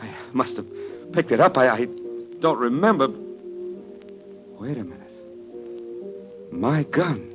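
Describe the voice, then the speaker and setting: An actor playing a distraught young man who speaks haltingly in an old-time radio drama. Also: medium pitch, lively intonation. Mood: shaken, bewildered. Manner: halting, stammering. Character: distraught young man, an heir accused of murder. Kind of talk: 1940s radio acting. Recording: muffled old radio recording, music behind.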